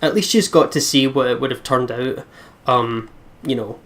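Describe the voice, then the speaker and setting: Mid-pitched man co-hosting a game playthrough. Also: moderate pace, a bit bright. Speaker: let's play co-host. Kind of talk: casual gaming chat and reading visual novel aloud.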